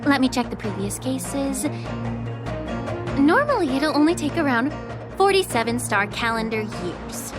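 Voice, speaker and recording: A woman voicing a composed port official in a video game, polite with deadpan, teasing sarcasm. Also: high voice, measured pace, music in the background. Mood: officious.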